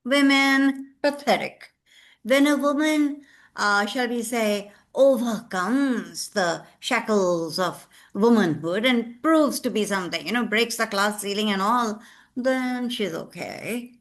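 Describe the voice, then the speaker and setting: A woman speaks in an informal group discussion. Her pace is very slow and her pitch is a bit on the high side.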